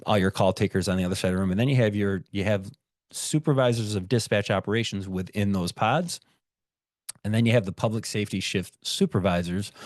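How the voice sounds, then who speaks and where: Low-pitched American man explaining his workplace. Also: no room sound, studio quality. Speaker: 911 dispatch shift supervisor giving a presentation. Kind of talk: informal explanatory presentation.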